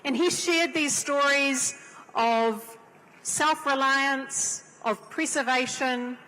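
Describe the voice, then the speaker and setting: A middle-aged woman with a New Zealand accent giving an earnest, measured panel speech at a conference. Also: sits high, bright, forceful tone. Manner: measured, earnest, with Maori greetings. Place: large conference hall. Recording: reverberant conference hall.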